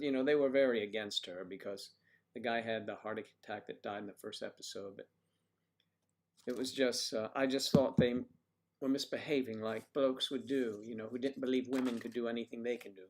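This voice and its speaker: A man's voice: medium pitch, steady tone, fairly quick. Chatty man hosting a livestream. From United States. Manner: chatty, jokey, rambling, talks to viewers by name. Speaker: livestream host reading chat. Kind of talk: casual livestream chat.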